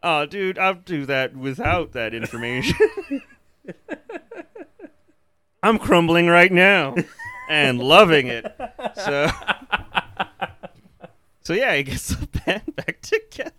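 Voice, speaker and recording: A man's voice: on the high side, takes it slowly, pauses often. A man co-hosting a podcast, joking and laughing through a movie recap. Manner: jokey, animated, laughing often. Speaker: podcast co-host. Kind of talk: casual movie recap chat.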